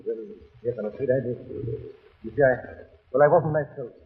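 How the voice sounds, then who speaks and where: An actor playing a desperate, pleading young doctor with an agitated, halting delivery in a 1930s radio drama. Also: male voice, medium pitch, lightly acted. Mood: anxious. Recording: tinny, band-limited 1930s radio recording.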